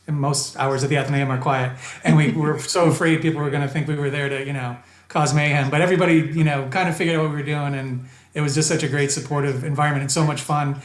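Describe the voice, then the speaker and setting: A man's voice: a little high, echoey room. A man chatting casually as an interview guest, a playwright, over a video call. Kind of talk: casual chat.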